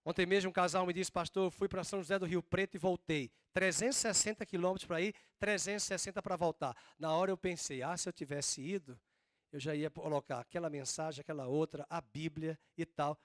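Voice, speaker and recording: A man preaching a sermon as pastor, with a drawn-out Northeastern Brazilian accent and slow, emphatic stresses. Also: fairly high pitch, steady tone, no room sound, clean studio sound. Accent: Northeastern Brazilian Portuguese.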